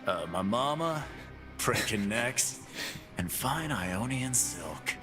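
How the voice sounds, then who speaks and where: An actor voicing a cocky, brawling male fight-pit boss in game voice lines, full of gruff, boastful trash talk, with game music behind. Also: medium pitch, big pitch swings, slow pace.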